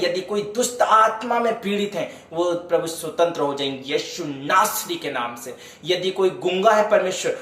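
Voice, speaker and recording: A man's voice: a little high, fairly bright tone, roomy sound. A man preaching a devotional sermon, fervently urging his beloved listeners and then praying aloud for healing. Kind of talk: sermon with worship singing and prayer.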